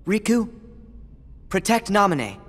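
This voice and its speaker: A man's voice: high voice, sing-song, slow pace, a bit bright, exaggerated voice. An actor playing a brave, stubborn, loyal teenage boy hero in a video game, earnest and impassioned. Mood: resolute. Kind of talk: video game cutscene acting. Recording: long hall reverb.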